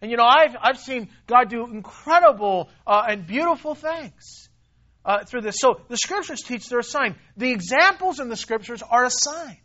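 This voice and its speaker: A man preaching a sermon to a congregation, emphatic and repetitive, swinging between loud stresses and quiet asides. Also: very high voice, animated, moderate pace, lo-fi sound. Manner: emphatic, repeats himself, rises and drops in volume. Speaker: pastor.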